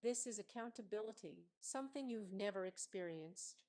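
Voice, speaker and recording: A calm, unyielding sheriff voiced by a female narrator in a dramatic story. The delivery is composed and firm, at a middle pitch. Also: moderate pace, close to an everyday voice. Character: calm, unyielding sheriff confronting a corrupt official.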